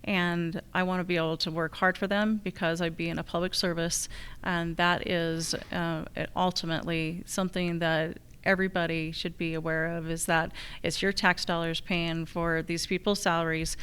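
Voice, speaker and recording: A woman's voice: fairly low pitch, little pitch movement, normal speed, a bit bright, clean tone. A woman giving unscripted remarks as a candidate at a public forum. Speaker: political candidate.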